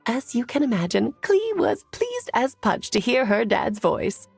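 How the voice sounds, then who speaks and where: Lively, genteel, whimsical sorceress. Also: female voice, on the high side, a little slow, vocal fry, husky, dry recording, faint music behind, heavily acted. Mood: wry. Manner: breezy, genteel, gently teasing. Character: elegant, whimsical, self-assured adult sorceress and mother. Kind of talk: anime game voice acting.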